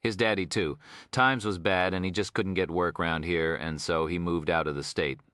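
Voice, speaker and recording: A man's voice: sits low, fairly even intonation, moderate pace, fairly bright tone, no echo, studio quality. A man voicing a retired Texan prison officer in an audiobook, an older man with a folksy, rambling drawl. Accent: Texas.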